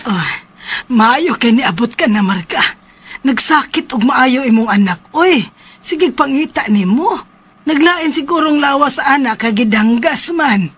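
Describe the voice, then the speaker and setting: A woman playing a fretful older grandmother in a radio drama, with a husky voice and a Cebuano Filipino accent. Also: high voice, animated, unhurried, full character voice. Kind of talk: Philippine radio drama acting.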